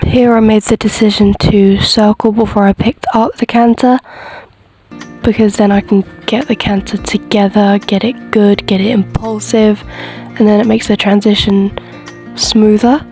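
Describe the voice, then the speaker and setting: Even-toned woman narrating over soft music. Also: medium pitch, unhurried. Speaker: horse rider narrating own lesson footage. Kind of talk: casual voiceover commentary.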